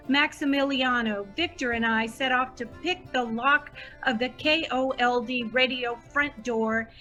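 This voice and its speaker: Bright-voiced, devoted superfan woman acting as narrator. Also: high voice, poor audio. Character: Petunia Stoneberry, devoted gushing superfan narrating the saga. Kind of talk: radio drama narration.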